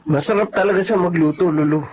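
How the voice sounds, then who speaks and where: Actor as an irritable, high-pitched wayward young man. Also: fast, darkish tone, highs cut off, natural voice. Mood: irritated. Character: irritable, wayward young man who drinks and uses drugs. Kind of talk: Filipino radio drama acting.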